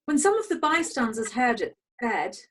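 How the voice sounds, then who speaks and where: A woman narrates a dramatic scripture reading over a video call. Her voice sits on the high side and has a clearly husky grain. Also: big pitch swings, moderate pace. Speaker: narrator in a congregation's Passion reading.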